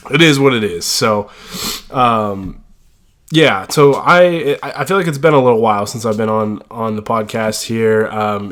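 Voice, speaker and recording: A man's voice: on the low side, fairly bright tone. A man hosting a sports podcast while stuffed up with a cold, talking casually with many fillers and restarts. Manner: rambling with frequent fillers and restarts. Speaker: podcast host.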